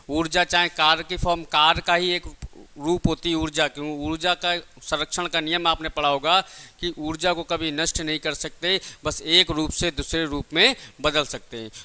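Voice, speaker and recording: A man's voice: fairly high pitch, sharp tone, clear, resonant tone. A man teaching a physics lesson for exam students, didactic and repetitive, asking questions and answering them himself. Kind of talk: classroom exam-prep lesson with rhetorical questions.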